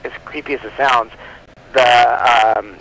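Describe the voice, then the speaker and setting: A man's voice: mid-range voice, steady tone, slow, vocal fry, a bit bright, low-quality recording. A man talking at length in a casual radio interview as a guest, in a rambling, chatty way. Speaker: guest, comic book publisher.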